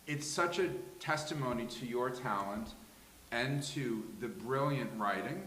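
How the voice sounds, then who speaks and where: A man's voice: medium pitch, lively intonation, unhurried, fairly bright tone. A man earnestly giving effusive feedback in a casual discussion, often restarting his sentences as he goes. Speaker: table read participant giving feedback. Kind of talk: casual post-show discussion. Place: live table read in a room. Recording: roomy echo with faint hiss.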